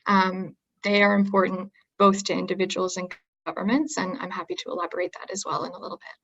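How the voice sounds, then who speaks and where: A young adult woman giving a measured explanatory presentation on a webinar, with frequent um fillers. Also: mid-range voice, flat delivery, a bit bright, highs cut off. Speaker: webinar panelist.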